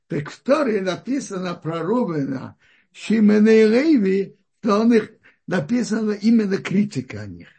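A man giving a religious lesson on scripture, explaining slowly and often stopping to restart his sentences as he searches for words. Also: high-pitched, darkish tone, slightly rough. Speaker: Torah teacher.

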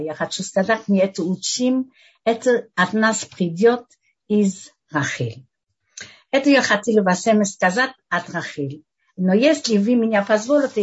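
An older woman gives a religious lesson in a learned language, and her first language is French. Her voice is husky, and she halts often to hunt for the right word. The recording sounds dull and lo-fi. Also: medium pitch. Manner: halting, searching for words in a learned language. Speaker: religious teacher.

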